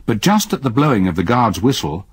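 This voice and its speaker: An actor narrating as a man recalling a journey from his youth, calm and reflective in a radio drama. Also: medium pitch, fairly quick, slightly rough, no room sound. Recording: old radio broadcast with audible hiss.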